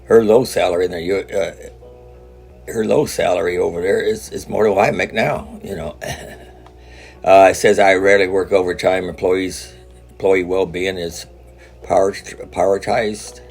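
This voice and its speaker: A man with a very deep, gravelly voice hosts his own news channel. He comments casually and rambles, stumbling over words and starting sentences again. Soft music plays behind him. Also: fairly even intonation, moderate pace. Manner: rambling, stumbles and restarts often. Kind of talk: casual commentary on a read article.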